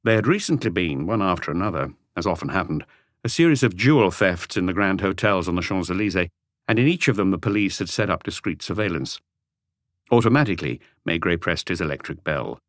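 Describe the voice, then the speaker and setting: A man's voice: low voice, animated, a little fast, dry recording, studio quality. A man reading a mystery novel as a scripted audiobook narration.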